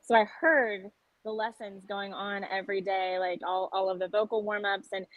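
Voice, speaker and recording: A young woman from South Texas chats earnestly as an interview guest. She restarts her phrases often and keeps talking quickly. The sound is dry and close, with no room echo. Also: medium pitch, flat delivery, phone-quality sound. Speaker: singer-songwriter guest. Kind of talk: casual interview conversation.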